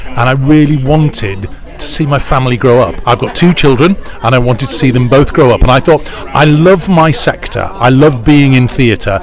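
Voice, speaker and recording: A middle-aged British man giving interview answers in a chatty, enthusiastic storytelling way. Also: mid-range voice. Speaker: pantomime producer, interviewee. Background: music clearly audible.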